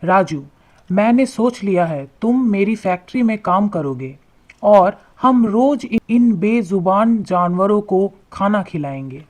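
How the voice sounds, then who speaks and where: A kindly, curious rich merchant from an animated moral tale, voiced by a woman. He speaks slowly and earnestly at a middle pitch, weighing his words. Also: low-quality recording. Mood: moved, kind.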